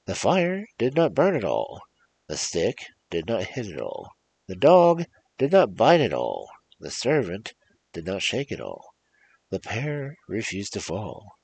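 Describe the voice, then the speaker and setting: A man with a gravelly, husky voice hosting a storytelling show, reading fairy tales in a theatrical, emphatic, rhythmic way. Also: deep voice. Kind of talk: animated performed fairy-tale reading with casual asides.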